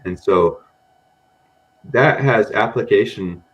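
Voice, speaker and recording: A man's voice: lowish voice, slow pace, muffled recording. A man speaking as a guest on a data podcast. He rambles casually, with a mixed American accent, and often says "you know" and "um". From grew up in Japan among Americans.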